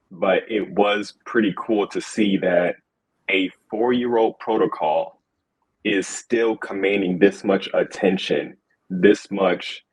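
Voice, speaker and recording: A man with a husky voice talking casually as a panelist. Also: low voice, low-quality recording. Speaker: conference panelist.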